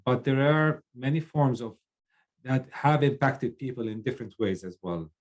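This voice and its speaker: A middle-aged man from Lebanon, a program manager opening a webinar from a child's bedroom on a video call, talking haltingly with many fillers and restarts. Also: medium pitch.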